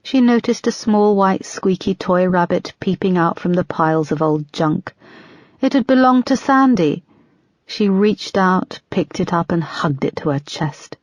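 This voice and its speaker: A woman reading a crime thriller audiobook in a steady, measured storytelling manner. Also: lowish voice, no room sound, lo-fi sound. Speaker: audiobook narrator. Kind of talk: scripted narration.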